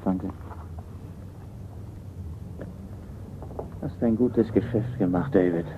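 Low, tinny-sounding resigned forger, played by a man. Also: normal speed, close to an everyday voice. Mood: guarded. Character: resigned, terse male art forger on the run. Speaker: radio drama actor. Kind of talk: German radio crime drama acting. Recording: tinny band-limited radio recording with hiss.

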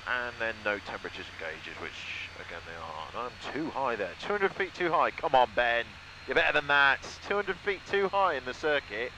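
A British man talks informally through his flying as a student pilot, in a mid-pitched voice. He sounds like a vlogger commentating from a small aircraft cockpit, with some engine noise around his voice. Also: big pitch swings, moderate pace, few pauses. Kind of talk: vlog narration with cockpit commentary.

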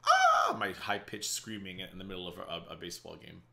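A man in his thirties hosting a casual reaction video from a small home office, slightly raspy, chatty and sarcastic. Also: low voice, huge pitch swings, bright, forceful tone. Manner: chatty and sarcastic, quick asides. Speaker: reaction channel host.

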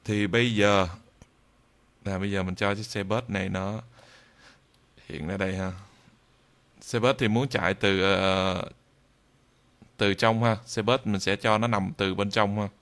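A man narrating an informal software tutorial in a halting way, with frequent drawn-out hesitations. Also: lowish voice, fairly even intonation. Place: indoors at a computer, screen recording voice-over.

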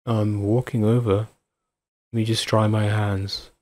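A man playing a devoted, affectionate husband in an intimate audio roleplay, with a husky voice. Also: on the low side, flat delivery, unhurried, a little mellow, close to an everyday voice. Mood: concerned. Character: devoted, affectionate husband, playful then anxiously caring. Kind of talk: intimate ASMR boyfriend-style roleplay acting.

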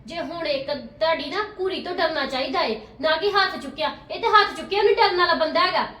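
A woman acts out a quick-tempered young sister-in-law in a family drama, snapping out fast, scolding lines in a very high voice. Her retorts come rapid-fire. The room around her rings with echo. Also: sharp tone, far from the mic. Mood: indignant.

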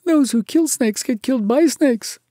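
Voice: mid-range voice, fairly even intonation, moderate pace, vocal fry, mellow, no room sound, studio quality, voice pushed up, lightly acted. A narrator voicing a timid, broken-hearted little male muskrat, tearful and pleading, in an animal storybook. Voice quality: whimpering, tearful. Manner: pleading, fearful.

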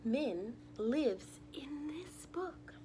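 High, lively young woman reading to children. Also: extremely slow, noisy background. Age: young adult. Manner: expressive, addressing small children with questions. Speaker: teacher reading a picture book aloud. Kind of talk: children's story read-aloud. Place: living room at home.

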